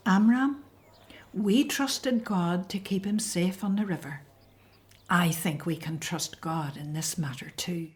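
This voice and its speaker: A woman playing a gentle, faithful Hebrew mother in a biblical radio drama, with emotional delivery. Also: lowish voice, slow. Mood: trusting. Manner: gentle, emotional, breathy sighs. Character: tender, faithful Hebrew mother, fearful for baby son.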